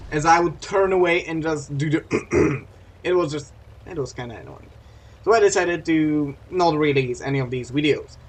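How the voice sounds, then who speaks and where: A young man with as a commenting casually on a game replay, rambling and often correcting himself. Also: fairly high pitch. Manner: chatty, rambling, self-correcting.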